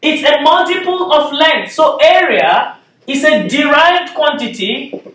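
A man's voice: very high voice, a little slow, fairly bright tone, far from the mic, a little hiss or hum. Nigerian-accented man lecturing in an echoey room. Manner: explains step by step, often checks in with "okay?". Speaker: physics lecturer. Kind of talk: whiteboard lecture. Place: classroom with whiteboard, indoors. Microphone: far camera.

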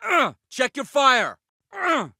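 Battle-ready soldier, video game callouts, clipped and commanding, sharp tense tone, dry studio sound. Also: male voice, a little high, animated, normal speed, no echo. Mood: alarmed, angry. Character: tough, battle-ready male special forces soldier. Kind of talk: military shooter game callouts.

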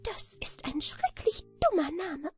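A woman's voice: extremely high pitch, big pitch swings, measured pace, darkish tone, faint music behind, pitched above the performer's own voice, heavily acted. A woman voicing a tiny, greedy, bossy old little man from a fairy tale, with a thin piping voice. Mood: brusque. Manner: brusque, bossy, impatient. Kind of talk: children's fairy tale reading.

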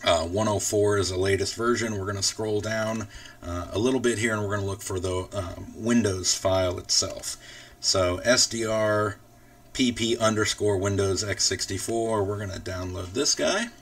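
Low-pitched man giving a casual software tutorial. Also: moderate pace, recorded from a distance. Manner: informal, step-by-step explaining. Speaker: tutorial host. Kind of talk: casual screen-recorded software walkthrough.

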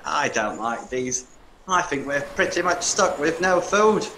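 A woman voicing a gentle, helpful male elf in a puppet show, musing hesitantly with oohs and hums. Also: low voice, expressive, unhurried. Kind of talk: hobby puppet show acting. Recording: echoey room, clear background noise.

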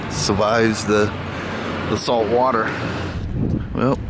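A man's voice: fairly low pitch, little pitch movement, takes it slowly. A man from the US East and Gulf Coasts, chatting in a folksy, laid-back way as he narrates a road trip vlog on a handheld camera. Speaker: vlogger. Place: outdoors on a windy beach, later in a moving car. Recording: loud wind and vehicle noise.

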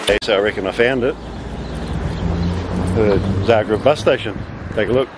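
A man's voice: fairly low pitch, moderate pace, loud music behind the voice. A man in his fifties chatting casually and unhurriedly to a handheld camera as a travel vlogger. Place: outdoors on city streets and roadside. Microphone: handheld camera at arm's length. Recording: noisy outdoor audio with traffic and wind.

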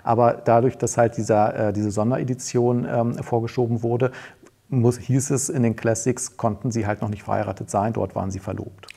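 Husky, mellow middle-aged man. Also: mid-range voice, steady tone, fairly quick. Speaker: series expert guest. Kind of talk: casual explanatory chat. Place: small studio set, seated at a table.

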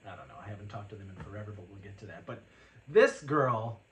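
A man in his forties hosting a casual chat, speaking loudly and projecting, with frequent chuckles. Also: lowish voice, lively intonation, fast, echoey room. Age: 40s. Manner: loud, projecting voice, chuckles often. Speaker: podcast host. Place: living room full of shelves.

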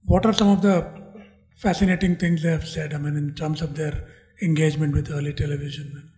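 A man's voice: fairly high pitch, flat delivery, brisk, warm tone, faint music behind. A middle-aged man moderating an academic conversation, prompting the guest with questions. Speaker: moderator, professor. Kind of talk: conducting an academic dialogue. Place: seminar room, indoors. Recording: roomy, distant, reverberant.

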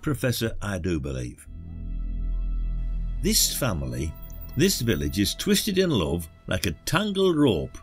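Low-voiced, blunt older British detective, played by a man. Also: husky, full character voice. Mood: wry, reflective. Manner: probing, colloquial, accusatory. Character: retired detective, older man, gruff, shrewd, blunt village sleuth. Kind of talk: audio drama acting. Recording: music behind the voice.

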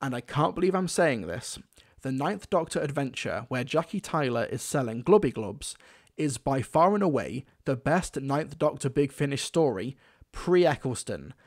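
A man's voice: mid-range voice, very animated, normal speed, darkish tone. A man hosting a scripted video-essay review in an enthusiastic, emphatic manner. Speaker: reviewer, host.

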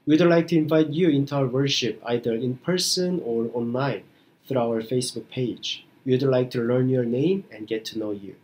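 A middle-aged man, a pastor giving an earnest, slow radio devotion sermon. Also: mid-range voice, darkish tone.

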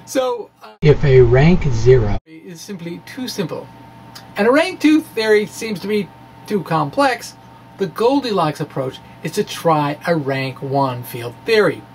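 A man's voice: a little high, very animated, a little slow, a little mellow, a little hiss or hum, low-quality recording. A middle-aged man giving a dramatic blog reading with casual asides, in a theatrical, wry and emphatic manner. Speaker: science blogger and presenter. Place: small indoor room with bookshelves. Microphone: microphone on a stand in front of him.

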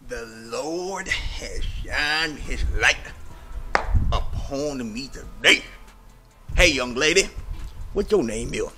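A slick-talking backyard mechanic with a Memphis drawl, as a man plays him, rambling and sly with every line. His voice has a bright, clear edge. Faint music plays under the outdoor driveway recording. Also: medium pitch, lively intonation, takes it slowly, long pauses, exaggerated voice. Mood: leering. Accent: Memphis Southern. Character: sleazy flirtatious middle-aged male backyard mechanic. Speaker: comedy skit actor. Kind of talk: improvised comedy skit.

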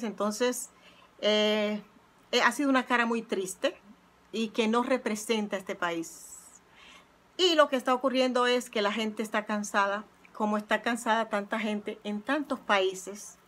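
A middle-aged woman hosting her own channel, talking earnestly and reflectively from her couch at home into a close phone camera, often pausing with "eh". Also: on the high side, fairly bright tone. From not Puerto Rico, living there twenty years. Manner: earnest, reflective, frequent "eh" fillers. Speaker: channel host. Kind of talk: casual heartfelt vlog monologue. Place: living room at home, seated on a couch. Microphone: phone or webcam close up.

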